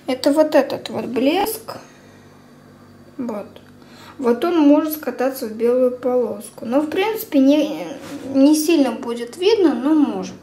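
A young woman chatting casually through a product review as a beauty vlogger, informal and unhurried, thinking aloud. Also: sits high, expressive, warm tone. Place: indoors at a table at home. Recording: clear background room noise.

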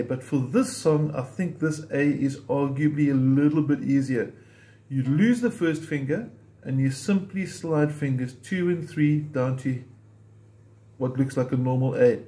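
A man's voice: on the high side, dark tone. Patient man teaching ukulele chords. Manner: patient, methodical, explains while playing. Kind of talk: step-by-step instrument tutorial.

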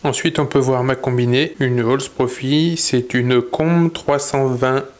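A man in his thirties casually showing his woodworking workshop, talking in a relaxed way with many pauses and fillers. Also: mid-range voice. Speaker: woodworking channel host. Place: indoor wood workshop.

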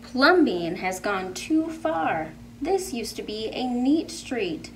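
A woman voicing disapproving storybook townspeople with emphatic, drawn-out scolding. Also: on the high side, very animated, slight background noise, voice pushed up, heavily acted, poor audio. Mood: indignant. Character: disapproving neighborhood townspeople, scolding in colorful idioms. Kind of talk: picture book read-aloud.

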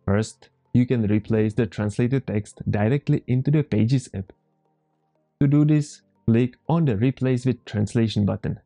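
A man in his thirties with a Czech accent, calmly walking viewers through a computer tutorial step by step as the channel's host. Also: mid-range voice. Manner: calm, methodical step-by-step explaining. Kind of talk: screen-recorded software tutorial. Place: home room, indoors.

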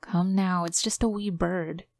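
A soft-hearted young Viking who speaks gently and quietly, voiced by a woman reading aloud. The voice sits low and level, with a dry, close sound. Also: fairly even intonation, a little slow, gravelly, dry recording. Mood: gentle.

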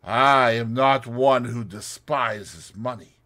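A man voicing a calm, scornful scheming society woman who speaks slowly and in a measured way. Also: medium pitch.

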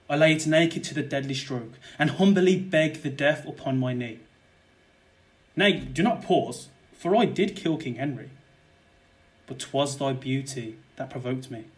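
Young guy playing a scheming killer nobleman sweet-talking in old verse drama, pleading, highish male voice. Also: natural voice. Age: young adult. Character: scheming murderous nobleman, a smooth-tongued ardent suitor. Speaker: student actor. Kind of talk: Jacobean and Shakespearean verse audio drama.

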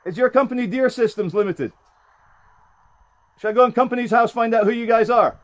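A man in his thirties filming himself outdoors on a driveway with a handheld camera, talking loudly, pleading and indignant. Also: extremely high pitch, fairly quick, no room sound, lo-fi sound. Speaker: YouTuber filming a confrontation. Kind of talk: confrontational self-filmed vlog. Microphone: handheld camera mic.

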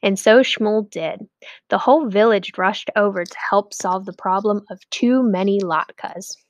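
A woman's voice: medium pitch, unhurried. A woman reading a children's story aloud as a storyteller, in scripted narration.

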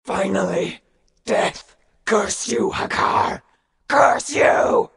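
An actress playing a vengeful female troll priestess with a raspy screech in a fantasy video game. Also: mid-range voice, very slow, shouting, heavily acted. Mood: fierce, anguished. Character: vengeful troll bat priestess crying out to her gods.

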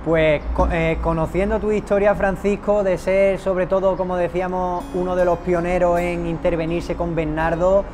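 A man's voice: high voice, flat delivery, moderate pace, few pauses, background noise. A young man interviewing a patient outdoors on a patio, asking leading questions with hesitant restarts. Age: young adult. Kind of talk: promotional interview. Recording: music bed underneath. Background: background music.

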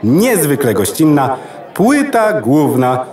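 A man's voice: a little high, big pitch swings, takes it slowly, a little mellow, faint music behind. A man playing a pompous computer part as master of ceremonies in a children's audio drama, speaking grandly. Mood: ceremonial. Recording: echoey, distant, party crowd behind.

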